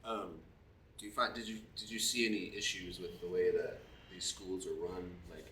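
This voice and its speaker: A man's voice: low voice, little pitch movement, moderate pace, sharp tone. A man interviewing someone, asking questions in a loose, informal way and swearing now and then. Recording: echoey, distant, faint background noise.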